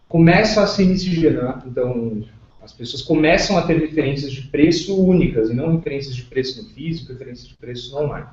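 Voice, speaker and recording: A man's voice: medium pitch, a little mellow. A man with a Brazilian accent giving an explanatory business answer as a panelist on a conference call. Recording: dull, echoey conference call audio.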